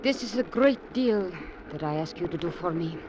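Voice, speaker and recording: An actress playing a grave young woman in danger, formal, quiet and earnest, in 1940s radio drama acting. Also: on the low side, animated, moderate pace, lightly acted. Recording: dull old radio recording with hiss.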